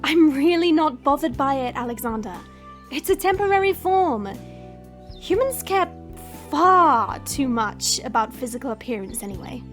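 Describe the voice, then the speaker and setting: A woman's voice: high voice, lively intonation, normal speed, a bit bright, soft background music. A woman playing a proud, guarded dragon princess in human form, speaking in a formal, imperious way in an audio fantasy drama. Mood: teasing, indifferent.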